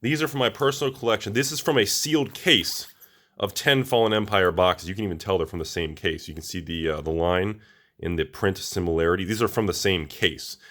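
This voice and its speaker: An American man with a slightly raspy voice, a little under the weather, talking casually and ramblingly to viewers as he reviews products. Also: fairly low pitch, fairly bright tone. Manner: informal, rambling, addresses viewers directly. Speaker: collectibles channel host.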